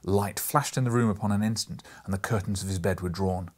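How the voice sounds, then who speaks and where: A man reading an audiobook as dramatic literary narration, theatrical and sometimes hushed, with emphatic stresses. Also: sits low, fairly even intonation, brisk. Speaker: audiobook reader.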